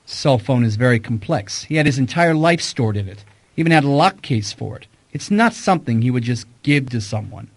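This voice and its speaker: An actor playing a wry, self-assured private detective in an audio mystery drama. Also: male voice, medium pitch, lively intonation, fairly quick. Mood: confident, pointed.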